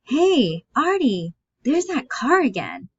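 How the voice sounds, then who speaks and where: A woman's voice: high voice, very animated, slow pace, slightly rough, voice pushed up, heavily acted. A woman voicing a worried, sensible teenage older brother in a stage play. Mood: worried, scolding. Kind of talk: reading a stage play aloud. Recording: dull, muffled lo-fi audio.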